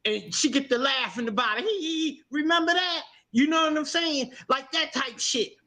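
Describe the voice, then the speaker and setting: A man's voice: extremely high pitch, moderate pace, sharp tone. A man in his fifties chatting casually, informal and emphatic, repeating himself for emphasis. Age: 50s. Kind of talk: casual livestream chat.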